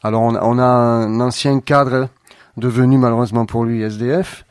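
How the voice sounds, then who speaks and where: A man guesting on a radio show, answering thoughtfully with frequent pauses and fillers. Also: medium pitch, darkish tone, no echo, studio quality. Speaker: playwright guest. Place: radio studio.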